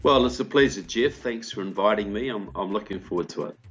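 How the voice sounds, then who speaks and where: A man's voice: fairly low pitch, brisk, soft background music. An older man with a New Zealand accent, explaining at length as a guest on a video call from a room at home. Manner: steady explanatory monologue. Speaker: guest, author and filmmaker. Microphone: video call with earbud mic. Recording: dull lo-fi call audio.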